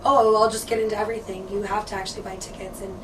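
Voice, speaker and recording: A woman's voice: mid-range voice, level tone, very fast. A woman in her thirties, a brand ambassador, chatting cheerfully in an interview, her voice a little worn. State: losing her voice. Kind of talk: casual interview answers. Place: hotel room, indoors. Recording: distant noisy camera sound.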